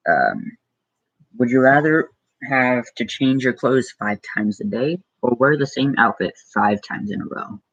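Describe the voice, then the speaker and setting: A man's voice: medium pitch, lively intonation, a little slow, a bit bright, no echo, muffled recording. A teenage boy with an Arizona accent, hosting a casual live-stream Q&A from his room, reading out viewer questions and answering with many ums and likes. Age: teens. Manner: hesitant, lots of ums and likes, reads questions aloud then answers offhand. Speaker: small YouTuber hosting a live Q&A. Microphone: computer mic.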